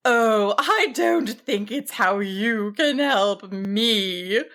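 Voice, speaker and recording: A woman voicing a big, kind but fearsome female dragon in a storybook, drawn out and booming. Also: lively intonation, extremely slow, fairly bright tone. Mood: kindly.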